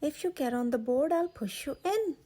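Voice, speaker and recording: A clever young girl from a fairy tale, played by a woman reading aloud. Her voice is high, with a hesitant, questioning manner that makes her seem innocent and unsure. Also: animated, normal speed, dark tone. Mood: feigned innocence.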